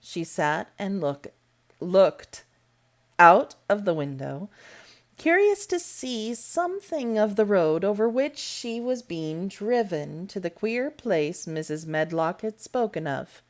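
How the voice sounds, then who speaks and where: A woman reading a classic novel aloud as storybook narration, in a deliberate, measured manner. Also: mid-range voice, big pitch swings. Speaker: storybook reader. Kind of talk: read-aloud audiobook narration.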